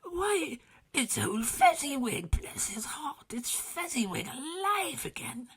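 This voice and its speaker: A man with a very raspy voice voicing an old male miser in a storybook reading, exclaiming eagerly. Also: low-pitched, sing-song, unhurried, bright, forceful tone, exaggerated voice. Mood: excited.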